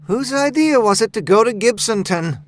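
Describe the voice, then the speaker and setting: A man's voice: high-pitched, expressive, moderate pace, a little hiss or hum, pitched above the performer's own voice. A man voicing an annoyed young woman in a horror audiobook, sarcastic, harsh and accusing. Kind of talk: horror audiobook character dialogue.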